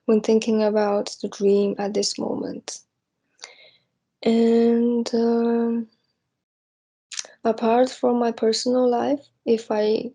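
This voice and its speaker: A young woman from East Asia speaking off the cuff and reflectively, hesitant and halting as she searches for words. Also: medium pitch, gravelly, dark tone. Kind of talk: unscripted spoken reflection on personal hopes.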